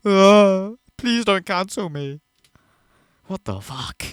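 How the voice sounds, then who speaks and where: A man's voice: high voice, expressive, slow pace, clean tone, no echo, studio quality. A man hosting a reaction video, ranting in disbelief and swearing freely as he mocks what he watches. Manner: incredulous, profane, mocking.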